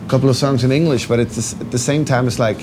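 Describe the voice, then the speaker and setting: A man's voice: medium pitch, fairly even intonation, quick pace, background noise. A man with a Swedish accent, a band member giving casual interview answers with many fillers and restarts. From Sweden.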